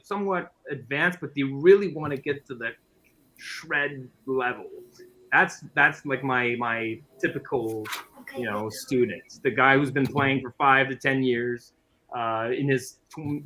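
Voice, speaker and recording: French canadian guy, french first language, bright voice, chatty guest musician. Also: mid-range voice, poor audio. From Quebec, Canada. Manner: friendly, chatty, often restarting phrases. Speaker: guest musician and guitar teacher. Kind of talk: casual interview chat.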